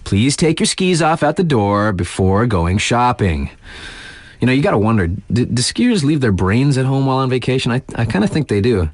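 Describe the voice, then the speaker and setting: Laid-back radio DJ, very animated intonation, mid-pitched, dry close sound, wry casual bulletins, video game. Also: male voice, moderate pace, dry recording. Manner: casual, wry, mock-serious. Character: laid-back, wisecracking male mountain radio DJ. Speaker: radio DJ reading local news. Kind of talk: breezy radio news bulletin, ad-libbed asides.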